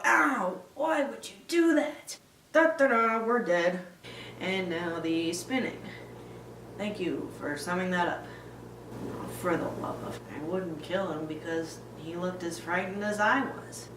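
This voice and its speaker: A woman playing a sarcastic, awkward young Viking man in a dry, deliberate film-style recitation. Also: mid-range voice, a bit bright. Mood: dry, resigned. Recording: echoey room, distant mic.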